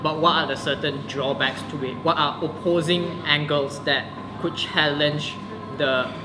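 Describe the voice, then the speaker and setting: A man's voice: on the high side, steady tone, measured pace, background noise. A young man, a debater, explaining his views in a thoughtful, articulate way. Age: young adult. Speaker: debater, guest. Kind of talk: casual interview.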